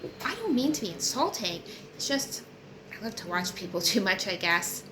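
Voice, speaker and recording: A chatty, curious teenage girl from a novel, voiced by a woman reading aloud. She is earnest and guileless, asking and musing freely. The sound is echoey with clear noise behind it. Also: mid-range voice, big pitch swings, moderate pace, close to an everyday voice. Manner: inquisitive, earnest. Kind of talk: audiobook character reading. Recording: roomy echo with clear background noise.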